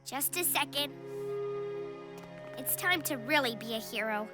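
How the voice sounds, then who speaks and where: An actor playing an excitable young superhero child in an animated children's cartoon, exclamatory and wide-eyed. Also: sits high, measured pace. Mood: earnest. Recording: loud music behind. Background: loud cartoon score.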